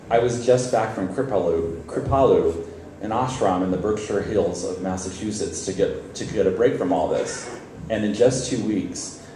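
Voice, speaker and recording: A man's voice: low-pitched, warm tone. A man reading a first-person story aloud on stage, in a wry, conversational way with occasional stumbles. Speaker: reader at a literary event. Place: live reading event, on stage. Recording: very echoey hall, distant mic, faint noise.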